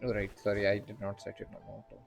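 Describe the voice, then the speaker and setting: A man's voice: on the low side, monotone, fast talker, creaky, over music. Casual man commenting over a game. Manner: offhand, swearing reactions. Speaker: gaming streamer. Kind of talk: casual let's-play commentary.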